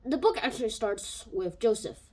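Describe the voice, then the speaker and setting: Chatty woman with a lively, rambling voice. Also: a little high, moderate pace, breathy edge, low-quality recording. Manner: rambling, thinks aloud with fillers and restarts. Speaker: book reviewer. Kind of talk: casual spoken book review. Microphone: home microphone.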